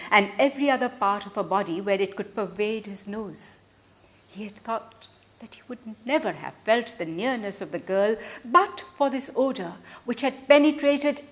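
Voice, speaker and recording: Slow, dramatic woman storyteller. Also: mid-range voice. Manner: deliberate, expressive, with hushed breathy phrase endings and emphatic swells. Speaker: reader of a literary short story. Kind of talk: dramatic literary reading.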